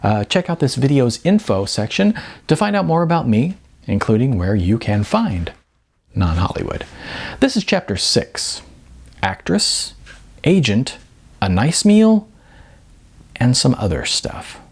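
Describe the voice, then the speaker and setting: A man reading his own novel aloud as an author, in expressive, conversational audiobook narration. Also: medium pitch, measured pace, creaky, long pauses, breathy edge.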